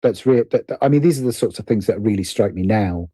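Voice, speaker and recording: Husky, mellow British man in conversation. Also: fairly low pitch, fast, no echo, studio quality. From Britain. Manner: hesitant, self-interrupting, often rephrasing. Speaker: guest, writer and producer. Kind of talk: reflective conversational interview.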